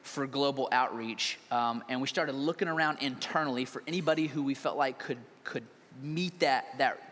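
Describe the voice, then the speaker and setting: An energetic, joking man, a pastor, interviewing a colleague on stage with loud emphatic bursts. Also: medium pitch, moderate pace. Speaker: lead pastor. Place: large church hall. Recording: reverberant hall, faint noise.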